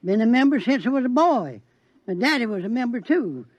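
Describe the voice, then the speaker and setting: A man's voice: very high voice, very animated, a little fast, dull audio, heavily acted. An actor playing a zealous male cult believer in a 1950s radio drama, talking in a rapturous, stammering way.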